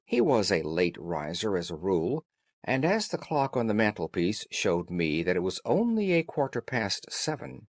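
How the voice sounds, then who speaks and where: Expressive male audiobook narrator. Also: fairly low pitch, no echo. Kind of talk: scripted storybook narration, expressive.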